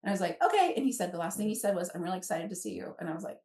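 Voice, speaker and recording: A woman's voice: on the low side, big pitch swings, very fast, dark tone. A chatty woman in her fifties tells personal stories as a podcast guest, acting out remembered bits of dialogue. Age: 50s. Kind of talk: animated personal storytelling. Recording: echoey room.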